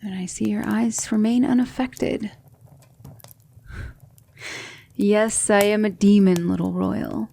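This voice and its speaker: A woman playing a gentle, patient demon mentor in an ASMR roleplay, speaking softly and often in a whisper, soothing and reassuring. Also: mid-range voice, steady tone, measured pace, gravelly.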